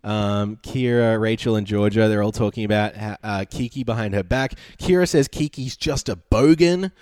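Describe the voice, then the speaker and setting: A man's voice: fairly low pitch, moderate pace, a little mellow, no room sound, studio quality. An Australian man casually recapping a show from home, laid-back and sarcastic with lots of ums. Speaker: podcast co-host.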